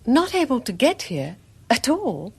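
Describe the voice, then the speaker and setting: A genteel British housewife in a radio play. Her voice is fairly high and husky, with very lively pitch movement. She is chatty and solicitous, eager to please and a little puzzled. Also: measured pace, full character voice. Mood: puzzled, explaining. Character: polite, chatty married newcomer woman, eager and puzzled. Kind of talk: radio drama acting.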